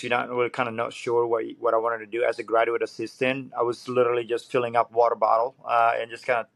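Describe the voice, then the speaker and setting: A man's voice: medium pitch, fairly bright tone, no room sound. A man in his thirties from Indonesia, speaking, is a swim coach guest telling his story earnestly and searching for words. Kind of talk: casual interview.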